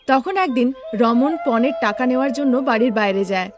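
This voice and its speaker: A woman's voice: a little high, normal speed, over music. A woman narrating a scripted cartoon story.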